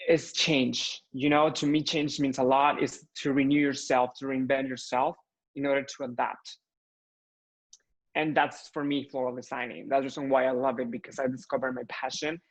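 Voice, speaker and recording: A young man from Mexico with a Mexican accent, speaking English as a second language in an earnest interview chat, often pausing with fillers to find his words. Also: a little high, rough recording. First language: Spanish. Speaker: floral designer guest.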